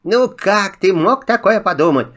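A crafty little rabbit chirps in a high, swooping voice. He flatters and wheedles his way through every deal. A lively trickster from a children's filmstrip tale. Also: a little slow, heavily acted. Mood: sly. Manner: wheedling, flattering, sing-song. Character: sly, chatty little male rabbit trickster. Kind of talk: filmstrip audio drama.

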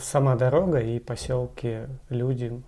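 Male podcast interviewer, mid-range voice, dark relaxed timbre, conversational delivery. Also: mid-range voice, measured pace.

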